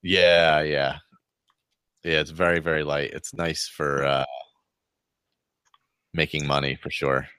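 A man's voice: rumbling low, level tone, slow, long pauses, pushed and bright, clear, resonant tone, no room sound, studio quality. A man on a podcast panel chatting casually and informally as he recounts his experiences. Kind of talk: casual chat, retelling gameplay anecdotes.

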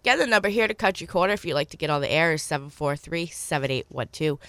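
A woman with a stuffy, congested voice hosts a local call-in radio show. Her voice sits slightly low and is recorded close and dry. She reads out items for sale and repeats phone numbers carefully. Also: animated, fast talker, a bit bright, no room sound. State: stuffy from a cold. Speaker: radio swap-show host. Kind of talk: call-in radio hosting, reading listings.

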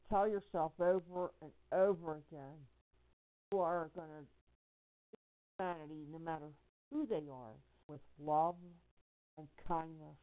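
A woman speaking as a caller on a phone conference, giving impassioned personal testimony in a halting, emphatic way, repeating phrases for weight. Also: fairly low pitch. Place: telephone conference call. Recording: narrow tinny phone-line audio.

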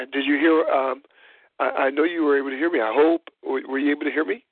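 Halting hoarse man on a phone line. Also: on the high side, fairly even intonation, no echo. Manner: halting, with many uhs and restarts. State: hoarse and congested. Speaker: host of a church call-in roundtable. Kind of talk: casual teleconference discussion. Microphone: phone call. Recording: tinny phone line, dry.

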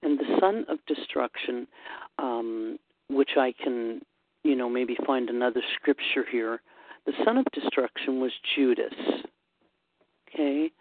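A woman hosting an informal Bible study chat, unhurried and thinking aloud with frequent pauses and ums. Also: very deep voice, dry recording. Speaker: host. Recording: dry, tinny phone-like line.

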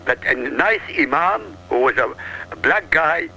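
Talk radio regular, male, from Iraq; high, swooping, gravelly delivery, combative and punchy. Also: lively intonation, a little slow, pushed and bright, low-quality recording. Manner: emphatic, combative, punchy short sentences. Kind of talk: heated political talk radio.

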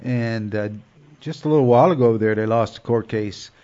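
A man's voice: medium pitch, normal speed, warm tone. A man with a cold and a stuffed-up nose, hosting a show in a rambling casual monologue full of fillers and restarts. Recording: dull lo-fi sound.

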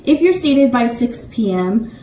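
A woman's voice: a little high, big pitch swings, a little slow, warm tone. A woman hosting a livestream show, chatting enthusiastically to viewers as she reads announcements and sponsor plugs. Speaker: radio network show host. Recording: roomy echo, distant mic, faint noise.

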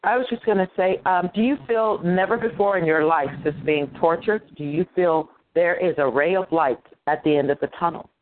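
A woman moderating a conference call, putting informal, halting questions to a caller. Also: on the low side. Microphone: telephone. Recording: narrow, muffled phone line.

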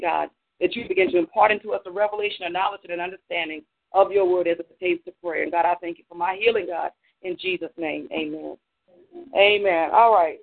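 Congested male teacher, pastor leading a church class, high voice on a tinny phone line, full of "um"s. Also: vocal fry. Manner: loose, filler-heavy teaching. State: congested from allergies. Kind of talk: church class lecture with prayer. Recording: narrow phone-line sound on a talk stream.

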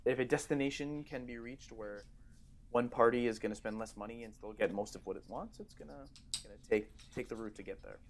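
A man's voice: medium pitch, brisk, slight background noise. A man co-hosting a casual podcast, explaining points emphatically with repeated starts. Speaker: podcast co-host.

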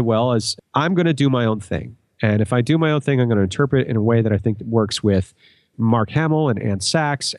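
A man's voice: mid-range voice, darkish tone, dry recording. A man speaking as a podcast guest in casual conversation, explaining things in an earnest way.

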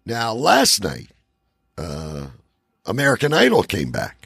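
Gravelly, low-voiced man hosting a show. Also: wildly swooping pitch, slow pace, a bit bright, no room sound, clean studio sound. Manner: rambling, joking. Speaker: talk show host. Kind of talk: casual radio show banter.

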